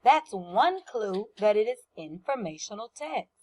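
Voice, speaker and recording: A woman's voice: medium pitch, very animated, unhurried, darkish tone. A woman teacher giving a lesson to young children, explaining simply, repeating points and asking questions. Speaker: first-grade teacher.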